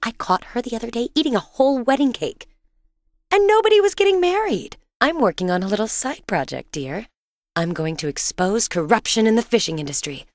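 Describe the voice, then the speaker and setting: An actress playing a loving, teasing suburban wife and mother in a video game, expressive and gently exasperated. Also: on the high side, brisk, no echo. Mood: chatty, fond. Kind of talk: cartoonish game dialogue, affectionate chiding.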